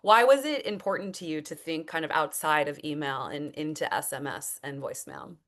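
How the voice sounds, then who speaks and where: Woman, low voice, conversational interviewer, effusive, polite, articulate. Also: animated, normal speed. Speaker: interviewer, webinar host. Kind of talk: conversational interviewing, webinar discussion.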